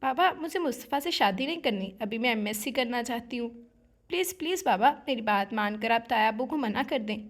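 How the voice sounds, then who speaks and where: A woman's voice: on the high side, lively intonation. A woman voicing a distraught young bride, defiant and bitter, speaking with raised emotion in an audiobook. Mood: pleading.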